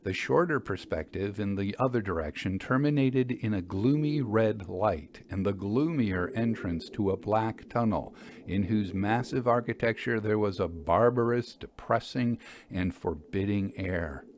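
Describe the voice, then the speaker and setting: A man reading literary narration as a storyteller, with measured, deliberate phrasing. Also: fairly low pitch, darkish tone, slight background noise. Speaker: storyteller, podcast host.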